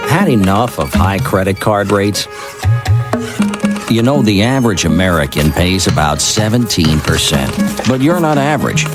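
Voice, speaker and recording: A man's voice: low-pitched, very animated, normal speed. A man announcing a persuasive scripted commercial. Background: loud music.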